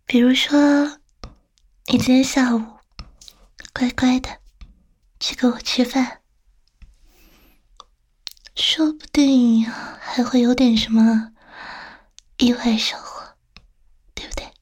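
A woman's voice: high voice, steady tone, full character voice. A woman playing a teasing, coaxing female boss flirting with a younger employee in an intimate, whispered audio roleplay. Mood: teasing persuasion. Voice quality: breathy, whispery. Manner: slow, coaxing, tender, teasing.

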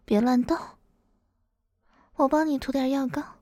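A woman acting as a domineering middle-aged mother-in-law in an adult audio drama, high-voiced yet mellow. She teases and gives slow orders, often dropping into breathy whispers. The sound is close and dry. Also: fairly even intonation, dry recording. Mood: teasing, stern. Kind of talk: intimate adult audio roleplay, partly whispered.

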